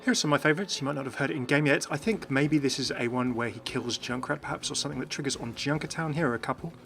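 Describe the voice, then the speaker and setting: A man's voice: mid-range voice, racing, vocal fry, fairly bright tone, slight background noise. A man hosting a gaming channel, giving enthusiast commentary as he presents game voice clips. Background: background music.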